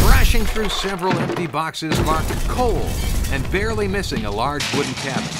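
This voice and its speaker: Animated male storyteller over music. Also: a little high. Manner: dramatic, conversational asides. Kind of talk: scripted story narration. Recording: music under the voice.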